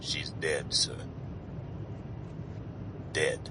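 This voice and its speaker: An actor playing a solemn, dutiful airman in a satirical radio play, speaking in stiff, formal euphemisms with a deadpan manner. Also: drawn-out words, heavily acted. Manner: deadpan, formal, long-worded. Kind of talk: satirical radio comedy drama. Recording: aircraft rumble behind.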